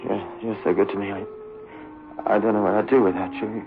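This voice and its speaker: A man's voice: on the low side, little pitch movement, a little fast, noisy background, lightly acted. An actor playing a tormented, obsessive young man with a stammering, pleading, overwrought delivery in a 1960s radio horror drama. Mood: anxious, relieved. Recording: muffled old radio recording.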